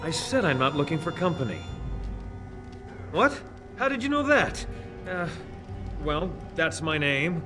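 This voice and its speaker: Jittery spy, fairly high voice, stammering, clear music behind. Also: male voice, sing-song, unhurried. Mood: defensive. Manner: stammering, defensive, pleading. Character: nervous man spying on a castle, frightened and pleading. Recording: game audio over loud music. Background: game music.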